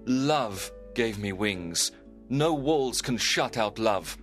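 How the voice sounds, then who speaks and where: Romeo is an ardent young lover , as a man plays him in a storybook reading. He speaks softly and tenderly in a hushed, mid-pitched voice. Faint music plays underneath. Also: lively intonation, takes it slowly.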